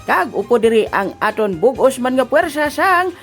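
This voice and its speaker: A woman's voice: on the high side, moderate pace. A woman voicing a cheerful, teasing old grandpa who hosts a comedy radio program with exclamations and announcements. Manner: animated, exclaiming, giggly. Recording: music behind the voice.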